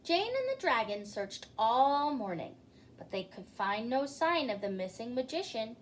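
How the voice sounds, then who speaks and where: Woman storytime host, children's read-aloud, theatrical, high voice, unhurried pace, speaks directly to listeners. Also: very animated, slight background noise.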